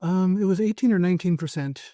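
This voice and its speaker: A man with a fairly high but mellow voice, recorded close with a dry sound. He answers interview questions as a guest lawyer, measured and careful, sometimes hesitating over details. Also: dry recording. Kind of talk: conversational interview.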